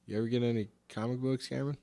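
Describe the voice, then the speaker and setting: A man's voice: medium pitch, steady tone, racing, gravelly, dark tone. A man co-hosting a casual podcast, tossing in wry remarks and quizzing the others. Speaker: podcast co-host. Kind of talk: casual chat.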